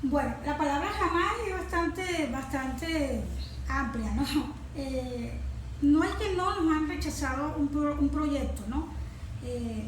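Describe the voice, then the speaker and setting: A woman with a Venezuelan accent explaining her work as an environmental consultant in an interview, haltingly, searching for words and repeating phrases. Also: high voice. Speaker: environmental consultant and forestry engineer being interviewed. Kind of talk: interview answers, explanatory talk. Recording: echoey room with clear background noise.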